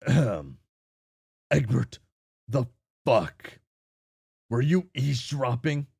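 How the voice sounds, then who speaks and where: A man voicing a gruff, foul-mouthed, secretive alien who is easily irritated, speaking bluntly with theatrical muttering in an amateur comic dub. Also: mid-range voice, sing-song, takes it slowly, a little mellow, no echo, studio quality, exaggerated voice.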